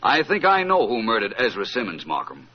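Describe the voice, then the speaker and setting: A man playing a suave, self-assured gentleman detective with polished, formal speech in an old-time radio mystery. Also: on the low side, wildly swooping pitch, a little fast. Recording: muffled, noisy old radio recording.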